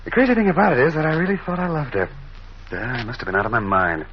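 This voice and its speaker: A man plays a suave American gentleman, charming and smitten, with widely swinging, expressive intonation. It is vintage radio acting on a dull recording with faint noise. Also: medium pitch, fast, close to an everyday voice. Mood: amused, confiding. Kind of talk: old-time radio drama acting. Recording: muffled, noisy old radio recording.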